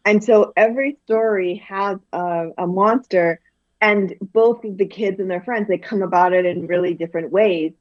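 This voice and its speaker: A woman's voice: mid-range voice, moderate pace, no room sound, lo-fi sound. A woman from Guyana, a children's author, chatting animatedly in an interview with lots of fillers and restarts. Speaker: children's book author, guest. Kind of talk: casual interview chat.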